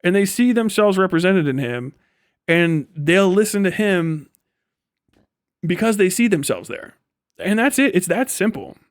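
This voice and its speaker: An American man livestreaming a casual, profane, blunt and rambling rant in an animated manner. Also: high voice, measured pace, long pauses, dry recording, studio quality. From United States. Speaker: livestream host. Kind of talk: casual ranting livestream commentary.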